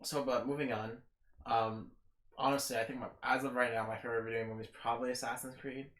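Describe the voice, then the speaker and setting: Male co-host, rapid informal delivery, frank opinions, echoey room sound. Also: mid-range voice, flat delivery, fairly bright tone. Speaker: podcast co-host. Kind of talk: casual chat. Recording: roomy echo on the voice.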